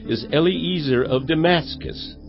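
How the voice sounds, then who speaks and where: An actor playing an earnest, troubled patriarch in a dramatized scripture reading, speaking with emotion. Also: male voice, fairly high pitch, unhurried, creaky. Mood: troubled, pleading. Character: Abram, earnest, troubled elderly childless patriarch. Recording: slightly dull audio, faint music behind. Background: faint music.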